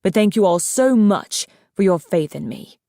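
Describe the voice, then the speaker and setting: A woman's voice: on the low side, moderate pace, darkish tone, dry recording, clean studio sound, lightly acted. A woman voicing a young male dragon in an audiobook, arrogant, brusque and sarcastic, with a defensive hot temper. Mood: snappish, defensive.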